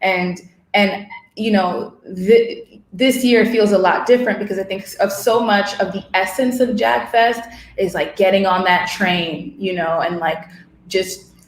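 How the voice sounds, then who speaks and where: A woman's voice: mid-range voice, steady tone, measured pace, a little hiss or hum. A woman writer talking casually in a discussion, reflective and searching for her words. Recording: roomy, echoing room sound.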